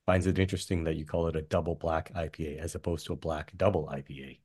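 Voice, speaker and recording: Canadian guy, deep voice, dry teasing jokes. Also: steady tone, fast, darkish tone, slightly rough, no echo. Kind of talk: casual podcast chat.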